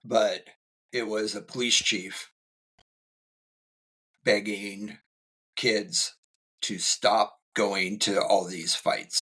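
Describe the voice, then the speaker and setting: A middle-aged man co-hosts a podcast and rambles through stories with dry, teasing humor. His voice is husky, bright and forceful, and sits a little low. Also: steady tone, very slow, long pauses, low-quality recording. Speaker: school safety specialist, co-host. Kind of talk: casual podcast chat.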